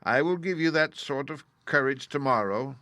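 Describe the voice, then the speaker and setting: A man's voice: mid-range voice, moderate pace, bright, forceful tone, dry recording, voice pushed up, close to an everyday voice. A man reading aloud and voicing a meek, apologetic humbug wizard who admits he is no magician. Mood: confiding.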